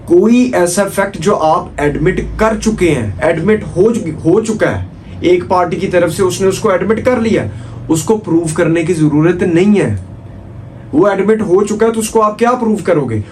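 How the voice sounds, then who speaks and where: A man's voice: on the high side, a little mellow, slight background noise. A man with a Pakistani accent giving an informal law lecture, repeating points, posing rhetorical questions and mixing in English legal terms. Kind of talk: informal exam-oriented lecture.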